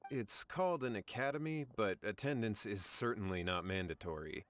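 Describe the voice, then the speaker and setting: An actor playing a courteous event organizer in a video game, speaking in a polite, inviting way. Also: male voice, on the low side, normal speed, heavy background noise, lightly acted. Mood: polite, persuasive. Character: courteous male event organizer welcoming newcomers.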